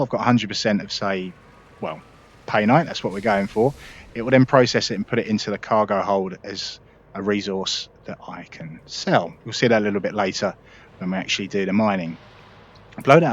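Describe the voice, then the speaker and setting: A man's voice: on the low side, slightly rough. A man hosting a gaming video, casually walking viewers through his ship setup in a chatty, explanatory way with frequent "uh"s and restarts. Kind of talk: casual gameplay walkthrough.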